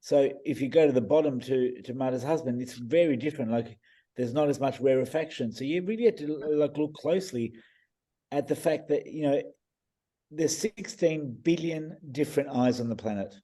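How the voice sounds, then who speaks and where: Croaky-voiced man, warm and chatty. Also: medium pitch, moderate pace. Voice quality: hesitant, many restarts and fillers. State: croaky voice, clearing throat. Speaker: iridologist guest reading eyes. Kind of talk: casual video-call discussion.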